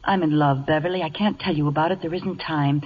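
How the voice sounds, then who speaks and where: A woman playing a wry, sharp secretary in an old-time radio comedy, with a brisk, dry, expressive manner. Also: low voice, close to an everyday voice. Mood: anxious, conspiring. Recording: muffled, noisy vintage radio broadcast recording.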